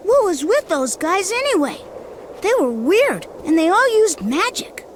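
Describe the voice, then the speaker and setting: A woman playing an inquisitive, excitable young child in a video game cutscene. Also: very high-pitched, a little slow, a little hiss or hum, full character voice. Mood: puzzled, curious.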